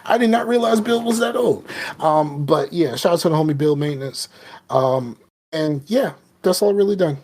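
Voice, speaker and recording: A man's voice: a little high, animated, moderate pace, darkish tone. A man with a Southern US accent, a podcast co-host chatting casually with lots of fillers and restarts. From American South. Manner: rambling, many fillers and restarts. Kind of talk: casual chat.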